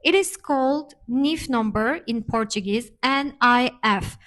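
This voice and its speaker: A woman's voice: a little high, measured pace, a bit bright. A woman from Turkey, co-hosting a casual chat and explaining things with frequent hesitations. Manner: explanatory, many hesitation fillers. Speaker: co-host.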